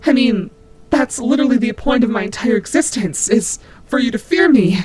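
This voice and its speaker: A woman voicing a menacing nightmare rabbit demon who is unsettled and paranoid, speaking haltingly in an audio roleplay. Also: a little high, lively intonation, a little mellow, slight background noise, natural voice. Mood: uneasy menace. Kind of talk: scripted audio roleplay acting.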